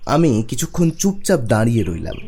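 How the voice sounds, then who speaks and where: Young government officer telling his cartoon ghost story; animated delivery, music underneath. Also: medium pitch, a little fast. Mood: uneasy storytelling. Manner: expressive, storytelling. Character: young unmarried government officer narrating his eerie experience. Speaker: narrator and lead character. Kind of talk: dramatic first-person horror story narration. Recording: music behind the voice.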